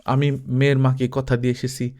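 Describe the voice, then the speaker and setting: Storybook part: troubled honest young man acted by a male reader, subdued, polite, brief answers, quietly stubborn. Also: mid-range voice, completely flat delivery, quick pace, close to an everyday voice. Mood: firm, uneasy. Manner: short, subdued, polite replies.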